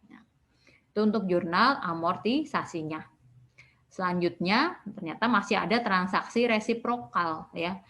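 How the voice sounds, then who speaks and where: A woman's voice: mid-range voice, a little slow, a bit bright. A woman giving a recorded lecture as an accounting lecturer, explaining step by step in a didactic way with frequent short tag words.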